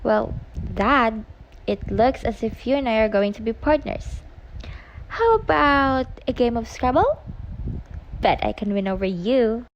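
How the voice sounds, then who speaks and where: A woman's voice: on the high side, measured pace, muffled recording. A woman playing a chatty, restless schoolgirl daughter in an amateur radio play. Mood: eager. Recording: faint background noise, clicks.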